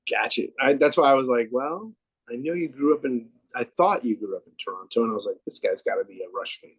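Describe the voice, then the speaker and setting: A man's voice: mid-range voice, fast, slightly rough, no echo, low-quality recording. A man hosting a casual music interview, laid-back, asking questions and laughing easily. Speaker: podcast host.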